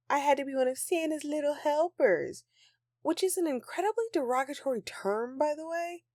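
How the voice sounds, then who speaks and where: A woman's voice: sits high, darkish tone, no echo, studio quality. A woman playing a grumpy but affectionate Christmas elf in an intimate audio roleplay, with a sarcastic, dramatic, sighing delivery. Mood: indignant ranting.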